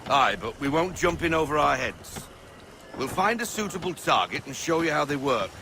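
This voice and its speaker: Game role: genial naval mate, raised creaky voice, salty talk, outdoor noise behind. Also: male voice, a little high, big pitch swings, moderate pace. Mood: genial, explanatory. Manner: hearty, colloquial seafaring talk. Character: genial, blustery older naval first mate. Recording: noisy outdoor harbour ambience.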